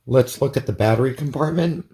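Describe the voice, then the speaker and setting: A man's voice: medium pitch, fairly quick, gravelly, mellow, breathy edge. A man giving a casual hands-on product demonstration in a plain, methodical, step-by-step way. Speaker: product reviewer.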